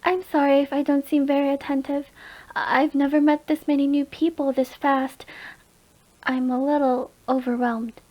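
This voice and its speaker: A woman's voice: high-pitched, flat delivery, normal speed, dull audio, natural voice. A woman voicing a shy, overwhelmed young alien from the countryside, hesitant and apologetic, in an audio roleplay. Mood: nervous, shy.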